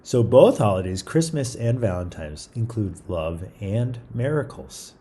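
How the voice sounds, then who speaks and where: A man's voice: lowish voice, expressive, measured pace, dark tone, a little hiss or hum. A man hosting a story time, reading a picture book aloud in an informal, chatty way with small stumbles and asides. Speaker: read-aloud storyteller, show host.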